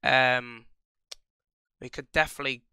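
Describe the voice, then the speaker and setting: A man's voice: mid-range voice, steady tone, a little slow, vocal fry, sharp tone, clean tone, dry recording, clean studio sound. A young man with a cold giving rambling, casual commentary over a football game, full of ums and restarts. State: recovering from a cold. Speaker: gaming channel host.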